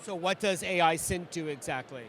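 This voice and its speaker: Male interviewer, brisk questions, upbeat, faint outdoor vehicle noise. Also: on the high side, a bit bright. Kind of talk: conference interview.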